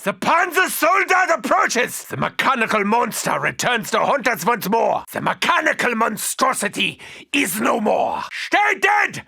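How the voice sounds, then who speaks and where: An actor playing an arrogant, menacing German-accented mad doctor in a video game, with grandiose, formal, theatrical delivery. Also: male voice, extremely high pitch, wildly swooping pitch, measured pace, vocal fry, sharp tone, breathy edge, exaggerated voice. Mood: defiant, scornful. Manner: grandiose, formal, precisely worded. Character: arrogant, menacing male mad doctor battling undead hordes.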